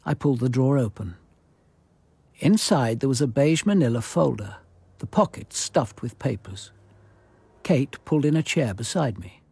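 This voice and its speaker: An actor playing a measured, observant police detective narrating his investigation in a radio drama. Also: mid-range voice, lively intonation, vocal fry, warm tone, husky, no room sound, heavily acted. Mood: measured, grave.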